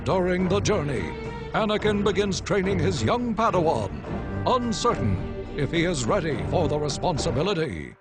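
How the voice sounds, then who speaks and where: High-pitched, dramatic war newsreel announcer. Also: male voice, big pitch swings, moderate pace. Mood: urgent, dramatic. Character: urgent, bombastic male war newsreel announcer. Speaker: narrator. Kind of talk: dramatic scripted newsreel-style narration. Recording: loud music behind.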